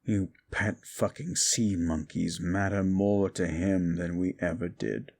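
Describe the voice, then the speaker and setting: A very deep, gravelly fallen demon, voiced by a man in an audio drama, ancient and bitter. He talks slowly and with contempt, sinking to near whispers and then rising to shouting. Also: exaggerated voice. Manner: contemptuous, swings from hushed to shouting. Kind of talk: audio drama roleplay to a listener.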